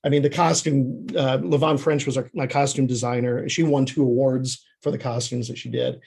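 A man's voice: medium pitch, flat delivery, moderate pace, vocal fry, a little mellow, breathy edge. A man talking casually as a screenwriter and director guest on a podcast, often chuckling as he speaks.

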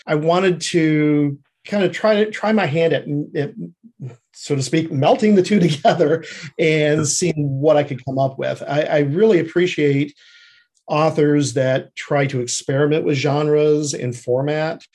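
A man's voice: a little high, unhurried, darkish tone. A man speaking as an interview guest, explaining his writing work in a conversational way. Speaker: guest screenwriter and fiction writer.

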